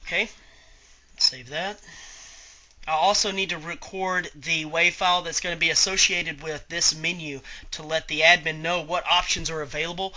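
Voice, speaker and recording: A man giving an informal step-by-step software tutorial as an instructor, talking through each click aloud. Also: on the high side, highs cut off. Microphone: voice-over on a screen recording.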